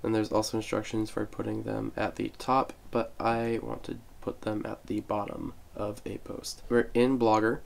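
A man's voice: fairly low pitch, completely flat delivery, moderate pace, gravelly, few pauses. A young man getting over a cold, chatting casually through a step-by-step website tutorial with self-deprecating asides. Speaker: tech tutorial host. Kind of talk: casual screen-recorded tutorial.

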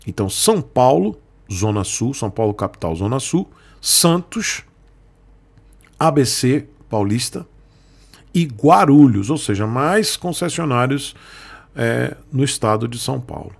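A man's voice: mid-range voice, lively intonation, a little slow, vocal fry, breathy edge. A man, hosting his motorcycle channel and explaining market news in a chatty way, often checking in with his viewers, from a small indoor room at a desk. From Northeast Brazil. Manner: chatty, explanatory, frequent "tá, gente?" asides. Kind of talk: casual market commentary.

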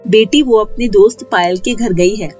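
A woman's voice: mid-range voice, normal speed, a little mellow, lo-fi sound, soft background music, lightly acted. A woman voicing a worried middle-aged mother in a cartoon village story, anxious but plainly spoken.

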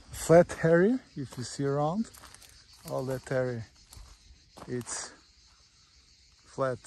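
Portuguese guy doing a property tour outside, mellow voice, halting english, bit of background noise. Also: fairly high pitch. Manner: halting, simple English with short phrases. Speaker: real estate agent. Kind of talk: informal property walkthrough. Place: outdoors on a rural farm. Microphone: handheld phone or camera held by speaker. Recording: outdoor handheld recording with faint background noise.

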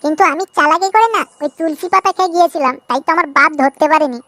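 A man voicing a cheeky, scheming boy in a comedy cartoon, with a pitch-raised voice. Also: very fast, sharp tone, no room sound. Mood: sly scheming. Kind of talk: amateur comedy cartoon dubbing.

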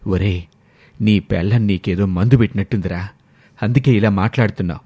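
A man acts out an elderly father in a moral family story. His voice sits low and heavy, and he speaks with reproach, like a grandfather who feels slighted at home. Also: fairly quick, warm tone. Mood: accusing.

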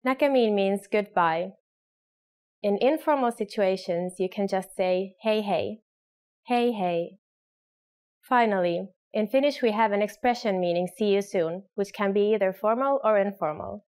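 A young woman reads a scripted lesson straight to camera. She says each phrase slowly and clearly, as a model for learners. It was recorded on a studio mic. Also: medium pitch, darkish tone. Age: young adult. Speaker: language teacher.

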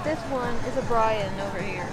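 A woman's voice: on the high side, moderate pace, rough recording. A young adult woman chatting playfully and teasing on a travel vlog, outdoors at a night market, recorded on a handheld camera. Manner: playful, teasing, laughs often. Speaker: vlogger. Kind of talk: casual travel vlog chat. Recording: loud music and crowd noise.

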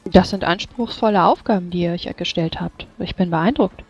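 A woman's voice: lowish voice, normal speed, a little mellow. Woman role-player on a dull mic. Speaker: tabletop role-playing player. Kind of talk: in-character game talk. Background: background music.

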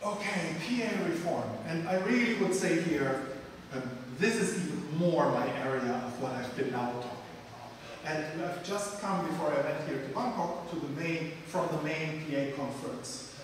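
A man's voice: on the high side, moderate pace, crowd noise. A middle-aged man, a guest lecturer answering questions with blunt, emphatic opinions into a handheld microphone in a large lecture room. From Germany. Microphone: handheld mic. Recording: echoey hall from far off.

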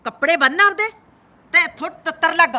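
A woman's voice: very high-pitched, fairly quick, exaggerated voice. A woman with a Punjabi accent playing a harsh, taunting older mother-in-law who scolds her daughter-in-law. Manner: taunting, shouting, mocking.

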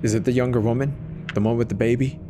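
A man playing a steady, reassuring emergency dispatcher in a horror audio drama, urging the caller along. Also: medium pitch, fast talker, music in the background, lightly acted. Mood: alarmed. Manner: calm, reassuring, soft-spoken urging.

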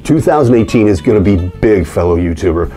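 A man in his fifties talks to his viewers in a folksy, emphatic way. His voice is mellow and swings freely up and down in pitch, with music sometimes underneath. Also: lively intonation, fairly quick. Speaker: vlogger and podcast host. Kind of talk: chatty vlog to camera. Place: living room indoors.

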